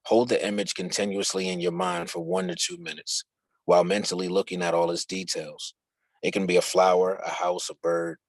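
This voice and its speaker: A man reads instructional book dialogue aloud in a low, creaky voice. His tone is husky and rough, and the sound is dry and close with very little room in it. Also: no echo, low-quality recording. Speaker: reader of a book chapter.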